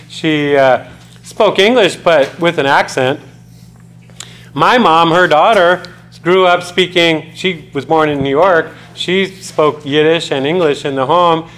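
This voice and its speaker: A man's voice: on the high side, a bit bright, poor audio. A man from the United States giving a conversational classroom lecture, often putting questions to his students. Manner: conversational, poses questions to students, loose with fillers. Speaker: college lecturer.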